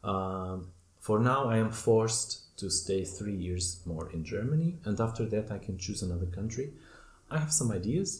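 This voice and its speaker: A middle-aged man, a guest answering interview questions in a reflective, measured way with pauses and fillers. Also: on the low side, animated, darkish tone, roomy sound. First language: Portuguese. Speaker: podcast guest, former German teacher.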